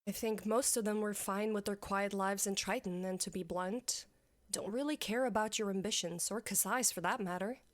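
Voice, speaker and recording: A woman's voice: mid-range voice, fairly even intonation, normal speed, dry recording, studio quality, close to an everyday voice. A woman playing a dutiful military commander in a fantasy audio story, speaking formally and directly to her king. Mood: blunt, disapproving. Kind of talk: audio fiction acting.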